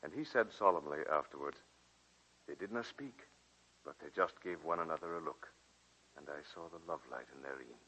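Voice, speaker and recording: An actor playing an earnest, romantic college professor with a literary, measured manner in a 1940s radio drama. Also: male voice, extremely low pitch, lively intonation, sharp tone, a little hiss or hum, exaggerated voice. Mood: tender, literary. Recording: muffled vintage 1940s radio broadcast, music behind.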